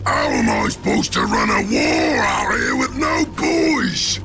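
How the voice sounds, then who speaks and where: Crude ork boss game voice, gravelly, pitch all over the place, blustery broken grammar. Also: male voice, wildly swooping pitch, slow pace, raised voice, over music, heavily acted. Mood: irritated bluster. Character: brash, belligerent male Ork warboss, crude brute. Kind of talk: cartoonish video game voice acting.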